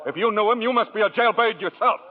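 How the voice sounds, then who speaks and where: An actor playing a surly, hostile bartender who talks gruff and curt, in 1940s radio acting on an old broadcast. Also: male voice, sits high, quick pace, narrow, tinny audio. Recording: phone-thin old radio with faint music.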